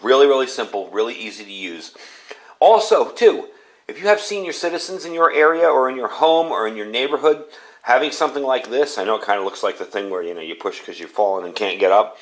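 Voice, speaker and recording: A man hosting a casual hands-on product review, talking in a folksy, plain-spoken way and repeating himself for emphasis. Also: medium pitch. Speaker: product reviewer and channel host.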